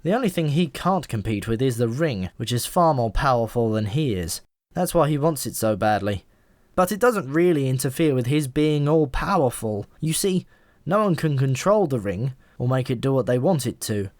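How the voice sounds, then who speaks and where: A man's voice: medium pitch, lively intonation, normal speed, a little mellow, no room sound, clean studio sound, natural voice. A man voicing a talking pigeon in an audiobook, a knowing and easily exasperated explainer with a wry, emphatic manner. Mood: explaining, wry.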